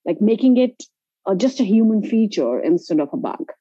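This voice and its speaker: A woman's voice: mid-range voice, sing-song, moderate pace, dark tone, husky, dry recording. A woman with an Indian accent, answering as an interview guest in a conversational, explanatory way. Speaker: interview guest, travel company founder. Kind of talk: conversational personal storytelling. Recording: dull, lo-fi audio.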